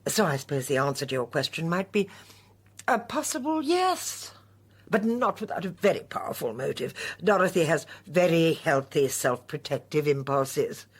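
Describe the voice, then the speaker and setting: Genteel old lady for radio drama: husky, low-ish, very lively intonation, shrewd and probing. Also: moderate pace, full character voice. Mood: thoughtful. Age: older. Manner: genteel, well-mannered, probing.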